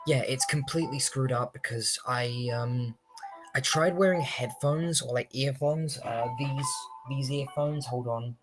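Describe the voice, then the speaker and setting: A young man commentates casually on his game stream in a medium-pitched voice. He rambles and hesitates as he goes. Game music sits faintly behind him, caught by the same microphone. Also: unhurried, low-quality recording. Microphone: streaming microphone, also picking up game audio.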